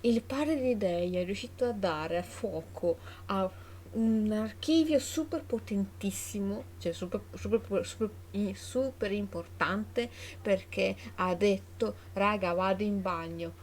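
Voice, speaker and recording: A woman's voice: medium pitch, measured pace, darkish tone, slight background noise. A woman doing casual live commentary on a game she plays, with playful, teasing asides. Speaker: live streamer.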